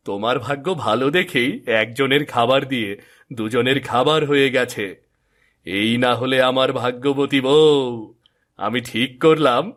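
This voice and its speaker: A man playing an eager, superstitious and lazy young farmer in a cartoon moral tale, with an excitable, insistent, wheedling way of talking. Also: mid-range voice, close to an everyday voice.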